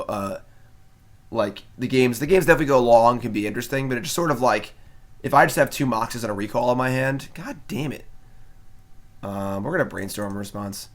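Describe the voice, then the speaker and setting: A young man with a mid-range voice talks through his card game on a live stream. His delivery is relaxed and conversational, with wry asides and frequent fillers. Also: medium pitch. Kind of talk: casual live-stream commentary.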